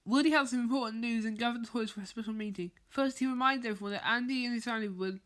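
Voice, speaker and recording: A woman reading a children's bedtime story aloud, haltingly, stumbling over and repeating words as she goes. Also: on the high side, fairly bright tone. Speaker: storybook reader.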